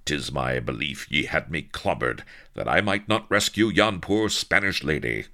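A man's voice: rumbling low, expressive, normal speed, pushed and bright, full character voice. A man voicing a stiff, prim sea captain who speaks in pompous, archaic phrases. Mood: indignant.

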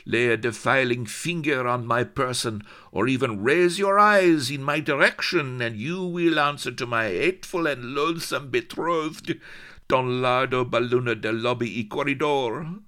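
A man voicing a haughty, hot-tempered teenage Spanish noblewoman with a put-on Spanish accent, shrill and theatrical. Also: unhurried, sharp tone. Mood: imperious.

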